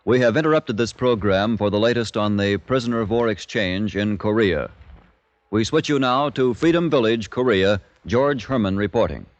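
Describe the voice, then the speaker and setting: Low-voiced man reading a dry radio bulletin. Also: animated, moderate pace, no room sound. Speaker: network news announcer. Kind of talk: 1950s radio news bulletin. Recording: dull, dry vintage radio broadcast.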